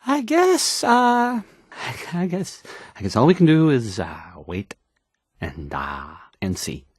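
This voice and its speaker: A man's voice: fairly high pitch, wildly swooping pitch, slow, breathy edge, dry recording, studio quality, full character voice. An actor playing a shy, awkward young radio DJ in a game, stammering and trailing off as he hesitantly reads the news. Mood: nervous, unsure.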